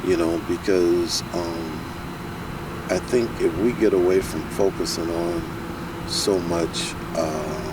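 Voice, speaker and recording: Man, very deep voice, emphatic, repeats for stress, outdoor street noise. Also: steady tone, slow pace. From United States. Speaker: interview guest, security professional. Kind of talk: casual conversational interview. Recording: distant mic with loud street and car noise.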